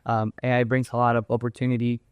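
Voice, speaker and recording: Smooth-voiced halting young man. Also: mid-range voice, little pitch movement, no room sound, highs cut off. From Cuba. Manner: halting, restarts phrases often. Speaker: guest. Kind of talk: casual interview talk.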